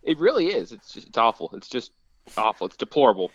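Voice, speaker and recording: A man's voice: mid-range voice, very animated, fast talker, a bit bright, dry recording, lo-fi sound. Joking young man from Kentucky, dry sound. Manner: self-deprecating, joking. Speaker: guest. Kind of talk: casual podcast chat.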